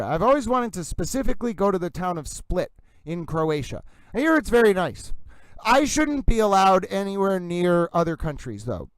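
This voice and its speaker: A man hosts a casual livestream, talking to viewers in a theatrical, gushing singsong that sounds a little breathless. His voice sits high and comes across very smooth and resonant. The sound is close and dry, with no room around it. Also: no echo, poor audio. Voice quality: slightly breathless. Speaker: livestream host.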